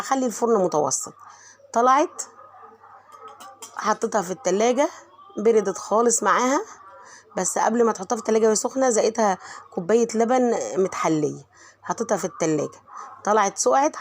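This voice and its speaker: Hoarse, chatty Egyptian woman vlogger. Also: medium pitch. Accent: Egyptian Arabic. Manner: warm, chatty, talks straight to viewers. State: hoarse from a cold. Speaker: home vlogger and mother. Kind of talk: chatty voice-over narration of home cooking.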